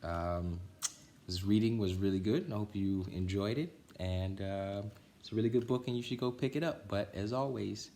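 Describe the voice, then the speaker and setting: A man reading a children's picture book aloud as storytime, with expressive, dramatic phrasing. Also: fairly low pitch, normal speed, a little hiss or hum. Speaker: storytime reader.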